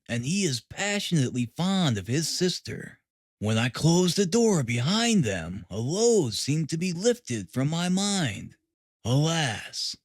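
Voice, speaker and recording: Man reading as a distressed, high-pitched Victorian mother. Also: no room sound, clean studio sound. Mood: anxious. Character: distressed Victorian mother giving her frightened account. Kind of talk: audiobook character reading.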